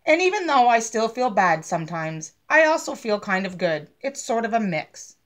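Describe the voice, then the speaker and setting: A woman's voice: on the high side, sing-song, moderate pace, a bit bright. A woman voicing a gloomy, reforming bad seed from a storybook, telling his story dramatically for children. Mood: earnest, hopeful. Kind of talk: children's picture book read-aloud.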